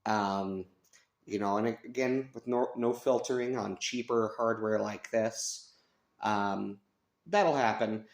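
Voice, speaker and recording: An American man giving a casual, unscripted review, thinking aloud with hesitant pauses. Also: fairly low pitch. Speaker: radio reviewer. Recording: clear radio static and hiss behind. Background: shortwave radio static and stations.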